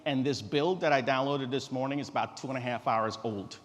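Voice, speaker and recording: A middle-aged man from Guyana giving an informal live stage presentation in a relaxed, direct, joking manner. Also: mid-range voice, a little fast. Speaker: company founder presenting a tech demo. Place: conference stage in a large reverberant hall. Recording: echoey hall through a stage PA.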